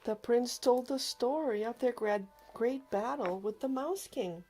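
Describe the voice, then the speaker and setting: A woman narrating a children's storybook aloud with expressive, dramatic emphasis, over music. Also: fairly high pitch, a little slow, poor audio. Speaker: storybook reader. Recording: music behind the voice.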